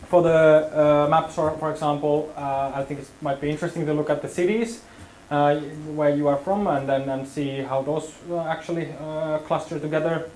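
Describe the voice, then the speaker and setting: A man leads a hands-on workshop in a casual, hedging way, full of fillers. His voice sits a bit high. The room is echoey, with a faint hum of ambience behind him. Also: steady tone, normal speed, darkish tone. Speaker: workshop instructor.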